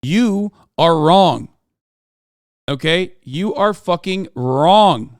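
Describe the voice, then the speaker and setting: A man's voice: high-pitched, animated, very slow, no echo. Man, streamer, heated profane commentary, lecturing, dry close sound. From Turkey. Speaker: streamer reacting to videos.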